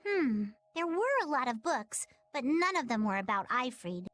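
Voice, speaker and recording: High, animated plucky girl. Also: normal speed. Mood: determined. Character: plucky young pirate girl searching for treasure. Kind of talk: English-dubbed anime video game acting.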